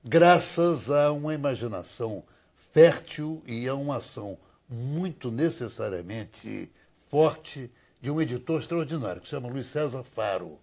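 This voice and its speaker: A man's voice: mid-range voice, animated, moderate pace, a little mellow. An older man from Rio with a Carioca accent, explaining things as a talk show guest in an erudite, effusive way with ornate phrasing. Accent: Carioca Brazilian Portuguese. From Brazil (Rio de Janeiro). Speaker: talk show guest, magazine and almanac presenter. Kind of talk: conversational promotional explanation.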